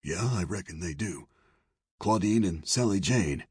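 A man voicing a cranky, frightened older saloon owner in a western audiobook, with a raspy, strained, folksy drawl. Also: lively intonation, measured pace, a little mellow, no room sound, clean studio sound, full character voice. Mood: uneasy pleading. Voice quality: raspy, high-pitched strained voice. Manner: folksy Old West drawl, pleading. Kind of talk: western audiobook character dialogue.

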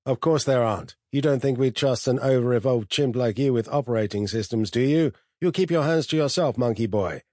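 A man voicing a disdainful, boastful super-intelligent computer in a loud, sharp, insulting manner for an audiobook. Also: mid-range voice, no room sound, studio quality, voice pushed up, lightly acted. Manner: haughty, insulting.

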